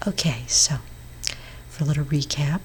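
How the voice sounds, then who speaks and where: A woman playing a gentle, reassuring witch therapist in an ASMR roleplay, speaking in a soft, breathy whisper with hesitant pauses. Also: low voice, lightly acted. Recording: clearly audible background noise.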